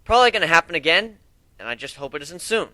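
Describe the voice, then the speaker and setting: A man's voice: a little high, expressive, fast, pushed and bright, no room sound, pitched above the performer's own voice. Man as a brash, protective griffin warrior. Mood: casual. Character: tough, joking, protective griffin warrior and leader.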